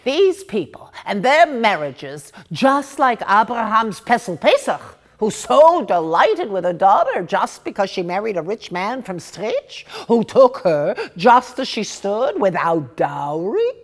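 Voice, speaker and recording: A woman voicing a sharp-tongued, scornful village gossip in a storybook monologue, complaining in rhetorical questions. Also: medium pitch, sing-song, measured pace, hardly any pauses. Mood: gossipy, sarcastic.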